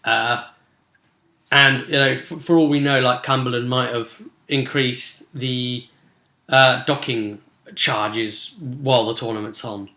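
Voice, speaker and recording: A man's voice: mid-range voice, fairly even intonation, takes it slowly, bright, forceful tone. A man chattily recapping a tabletop role-playing session as its game master, telling it like an informal story. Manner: chatty, storytelling, acting out brief bits of dialogue. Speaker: game master and vidcast host. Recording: roomy, echoing sound.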